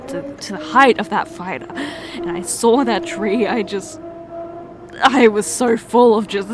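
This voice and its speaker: A woman playing a distraught young fiancée who confesses in halting speech, often restarting her sentences. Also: on the high side, a little hiss or hum, lightly acted. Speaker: ASMR roleplay performer. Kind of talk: ASMR roleplay.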